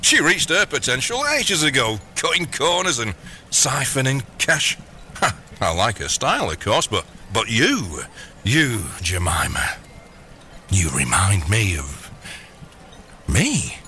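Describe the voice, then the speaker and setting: Megalomaniac doting father played by a man, bright and wildly animated, wheedling, theatrical, comedy radio play. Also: medium pitch, slow, slight background noise, heavily acted. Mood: gleeful, wheedling. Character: gleeful megalomaniac villain, doting scheming father. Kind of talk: scripted audio comedy drama.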